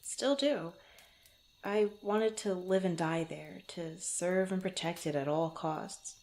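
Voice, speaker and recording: A woman playing a guarded, bantering young swordswoman in an intimate fantasy ASMR roleplay, speaking haltingly to the listener. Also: fairly low pitch, darkish tone. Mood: fond, reminiscing. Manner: flustered, bantering, halting, often sighing or chuckling. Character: guarded, teasing young adventurer swordswoman, former street thief.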